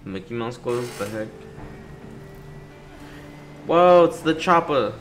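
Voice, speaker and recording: A man's voice: medium pitch, lively intonation, takes it slowly, slight background noise. A man giving casual, joking playthrough commentary, reacting to characters and reading captions aloud as host. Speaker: gaming video host. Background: video game music and sound.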